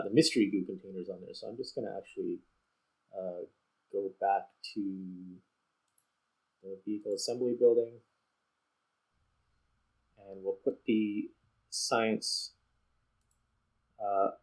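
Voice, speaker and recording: Male game streamer, lowish voice, casual and a little hesitant, explaining while he plays. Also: darkish tone, poor audio. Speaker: video game streamer and host.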